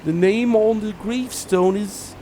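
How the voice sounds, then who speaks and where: A man playing a frightened, remorseful old miser who pleads haltingly in a radio play. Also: high-pitched, dark tone, a little hiss or hum. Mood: dread.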